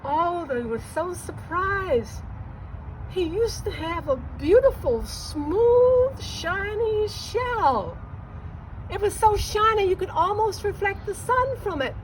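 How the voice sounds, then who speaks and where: A woman's voice: very high voice, expressive, slow pace, a little hiss or hum, rough recording. A woman telling a children's story expressively, drawing out key words.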